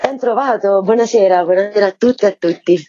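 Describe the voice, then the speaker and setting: A woman's voice: medium pitch, a little fast, mellow, a little hiss or hum. A woman, a festival curator giving fluent, formal explanations in an interview. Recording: dull, lo-fi dry interview line.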